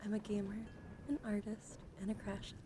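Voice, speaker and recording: A woman's voice: medium pitch, flat delivery, brisk, noisy background. A woman in her thirties chatting casually and teasingly as host of an ASMR livestream, speaking close to the mic.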